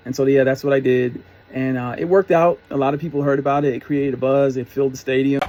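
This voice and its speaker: Mellow middle-aged man telling stories. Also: mid-range voice, steady tone, quick pace, lo-fi sound. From New York area, US. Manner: rambling, conversational, reflective. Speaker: storyteller, former concert promoter. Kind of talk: casual personal storytelling.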